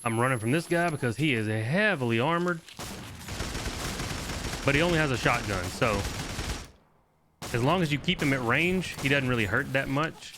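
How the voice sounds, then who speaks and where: A man's voice: medium pitch, expressive, moderate pace, pauses often, noisy background. A man giving casual walkthrough commentary on a video game and reading bits of game text aloud. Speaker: gaming video host.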